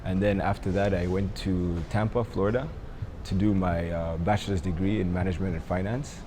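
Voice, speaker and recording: Young entrepreneur from Rwanda, deep voice, unhurried and hesitant in interview. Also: male voice, steady tone, noisy background. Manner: hesitant, many ums and uhs. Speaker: coffee entrepreneur. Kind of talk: interview answers.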